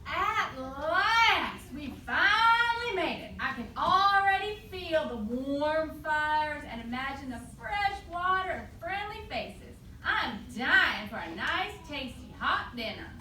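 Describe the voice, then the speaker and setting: A woman voicing a cheerful, reassuring small animal traveler with an eager, excitable manner in a live puppet show. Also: high voice, heavily acted. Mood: relieved, longing. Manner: excitable, eager, drawn-out delivery. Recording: distant roomy live recording, faint noise.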